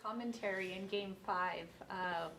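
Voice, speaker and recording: Gravelly-voiced woman reporter asking questions. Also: mid-range voice, unhurried, a bit bright. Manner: plain, conversational questioning. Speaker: sports reporter. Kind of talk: press conference questions. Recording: noisy room pickup.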